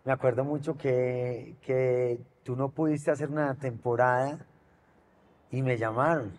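A Colombian man in his thirties hosts a relaxed-format interview with a fellow actor. He speaks conversationally at a medium pitch. Light ambient noise is audible behind him. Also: unhurried. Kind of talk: casual interview hosting. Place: large glass-walled event space with seated audience. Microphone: lapel mic. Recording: noticeable background ambient noise.

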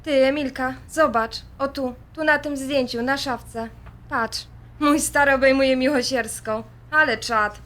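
A high-voiced, defiant daughter in an audio drama. Her tone is sharp and bright, and she is sarcastic and impulsive, always ready to confront. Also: fairly even intonation, measured pace. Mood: sarcastic, provocative.

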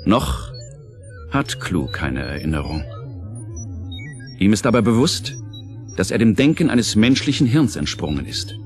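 Male audio drama narrator, kinda deep, measured and serious storytelling, music behind him. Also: big pitch swings. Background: prominent music.

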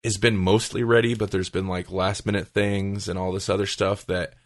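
A man's voice: low-pitched, little pitch movement, normal speed, no echo. Man chatting casually in a dry-sounding room. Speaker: podcast host. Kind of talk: casual podcast chat.

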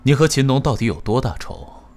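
An actor in a Chinese audio drama playing an indulgent, wistful company president, gentle, measured and understated. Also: male voice, mid-range voice, big pitch swings. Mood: gently reasoning. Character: soft-spoken, indulgent, wistful male company president in love.

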